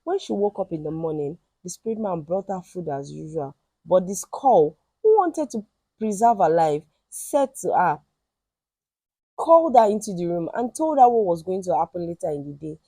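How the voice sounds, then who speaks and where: A woman telling a folktale in an expressive, emphatic and dramatic storytelling style. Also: fairly low pitch, moderate pace, slightly rough, no echo.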